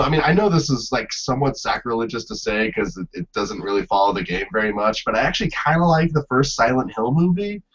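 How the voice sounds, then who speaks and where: A man chatting casually as a panelist on a podcast, recorded in dull, lo-fi audio. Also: medium pitch. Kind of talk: casual chat.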